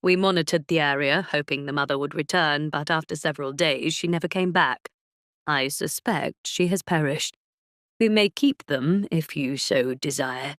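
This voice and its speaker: A woman's voice: low-pitched, moderate pace, a bit bright, no room sound, clean studio sound, voice pushed down. A woman voicing a teasing, self-assured aristocratic duke, speaking formally in a romance audio drama. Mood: gentle, sober.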